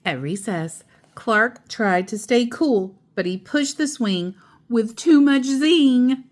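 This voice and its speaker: A woman reading a children's picture book aloud in an expressive, playful way, with chatty asides. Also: a little high, takes it slowly. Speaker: storytime host and narrator.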